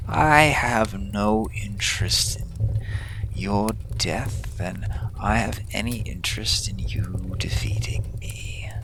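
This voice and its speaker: Guy doing a villain overlord, super slow n breathy, kinda whispery, grand seductive menacing speech. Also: deep voice, heavily acted. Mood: coolly persuasive. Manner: deliberate, drawn-out, grandiose phrasing. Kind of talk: slow theatrical audio roleplay monologue addressed to the listener.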